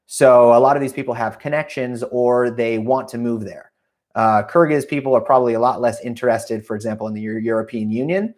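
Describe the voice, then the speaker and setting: An American man talks through a solo travel podcast at an ordinary middle pitch. He rambles loosely, leans on lots of ums and uhs, and stops to correct himself mid-sentence. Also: brisk, darkish tone. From United States. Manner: rambling, filler-heavy, self-correcting. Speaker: podcast host. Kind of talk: casual solo podcast monologue.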